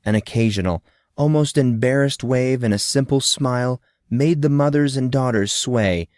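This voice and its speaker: A man narrates a podcast novel in a measured, storytelling way. His voice is medium in pitch, and the recording is dry and close. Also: a little mellow, no room sound. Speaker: audiobook narrator and podcast host. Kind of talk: scripted fiction narration.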